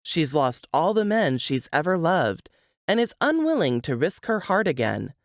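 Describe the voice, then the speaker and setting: A woman's voice: low-pitched, very animated, unhurried, dry recording, studio quality. A woman reading scripted, dramatic book narration.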